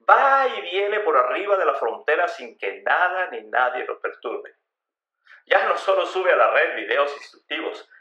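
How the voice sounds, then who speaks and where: A man's voice: medium pitch, pushed and bright, muffled recording. A man reading a scripted life story as a dramatic narrator. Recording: roomy, echoing voice recording.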